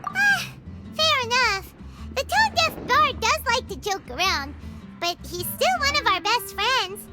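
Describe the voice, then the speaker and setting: Childlike fairy sidekick, squeaky, very high-pitched, wildly animated, excitable, game acting, prominent background music. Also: female voice, unhurried, heavily acted. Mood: curious, chatty. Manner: squeaky, speaks of herself in third person. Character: tiny excitable floating fairy companion, childlike girl. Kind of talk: video game character acting.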